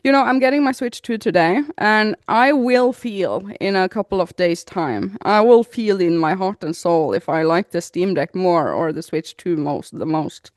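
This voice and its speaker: A woman's voice: fairly low pitch, normal speed, few pauses, no room sound, clean studio sound. A woman from Norway co-hosting a casual gaming podcast, chatting in an informal, emphatic way. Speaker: podcast co-host, gaming YouTuber.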